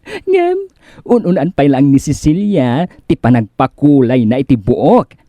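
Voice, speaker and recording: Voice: mid-range voice, big pitch swings, unhurried, warm tone, no room sound. An actor with a Filipino Ilocano accent playing a gossipy, teasing village friend in a comedy radio drama.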